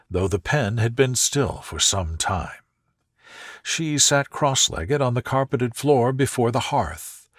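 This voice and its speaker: A man narrating a soothing scripted bedtime story in a gentle, unhurried way, with a soft, breathy voice that eases down at the ends of phrases. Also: mid-range voice, no room sound, studio quality.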